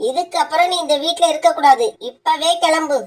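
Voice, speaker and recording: A woman plays a grasping young wife bird in a cartoon who bosses her husband around. She scolds in a very high, piercing voice. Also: steady tone, normal speed, close to an everyday voice. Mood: bossy. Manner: scolding, bossy. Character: greedy young wife bird, sharp-tongued.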